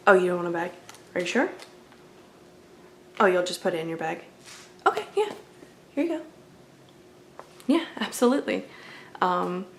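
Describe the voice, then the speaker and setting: Creaky-voiced woman as a game shop clerk. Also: medium pitch, slight background noise. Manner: casual, polite, apologetic. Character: friendly, accommodating young female video game store clerk. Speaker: ASMR roleplayer playing a game shop clerk. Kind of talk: ASMR roleplay, casual customer-service chat.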